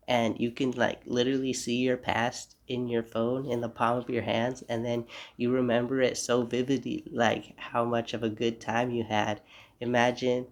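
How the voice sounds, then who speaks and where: A young man with a stuffy, congested nose from a cold hosts his own podcast from home. His voice is gravelly and fairly flat in pitch. He rambles reflectively and breaks off now and then to apologize. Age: young adult. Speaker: podcast host. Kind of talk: casual solo podcast monologue. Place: home room.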